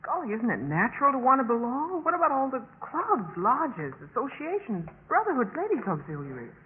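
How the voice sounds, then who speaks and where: Noisy old radio play: eager young college girl, earnest, gushing, very animated. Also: high-pitched, fairly quick. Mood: earnest, wistful. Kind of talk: 1950s radio drama acting. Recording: noisy, tinny 1950s radio recording.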